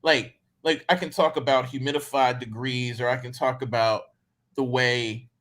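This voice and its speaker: A man's voice: medium pitch, fairly even intonation, normal speed, fairly bright tone. A man from Mississippi with a Southern American accent, talking casually and reflectively as an interviewer, circling back over phrases as he builds a thought. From Mississippi, United States. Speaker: interviewer, writer in conversation.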